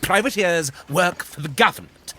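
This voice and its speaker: An actor playing a vain, blustering privateer captain in a period audio drama, emphatic and quick to take offence. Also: male voice, high-pitched, animated, noisy background. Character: vain, indignant pirate-hunting sea captain, self-styled privateer hero.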